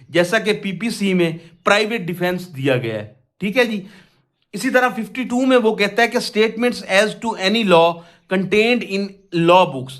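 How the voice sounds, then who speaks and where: High-voiced Pakistani man teaching a lesson. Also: a bit bright. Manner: methodical, repeats key phrases and poses questions then answers them. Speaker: law lecturer. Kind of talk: explanatory lesson, reading statute clauses aloud and explaining them.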